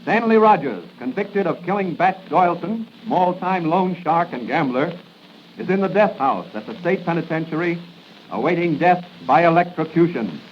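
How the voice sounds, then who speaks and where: High-voiced dramatic male radio announcer. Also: animated, a little hiss or hum. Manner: declamatory, deliberate emphasis. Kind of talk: dramatic 1940s radio narration. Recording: muffled 1940 radio recording.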